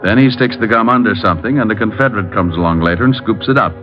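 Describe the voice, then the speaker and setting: A man's voice: on the low side, quick pace, highs cut off, music in the background, lightly acted. An American man playing a breezy, teasing, cool-headed private detective in an old-time radio drama. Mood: wry narration. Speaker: lead actor and narrator. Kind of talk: 1940s-50s radio detective acting.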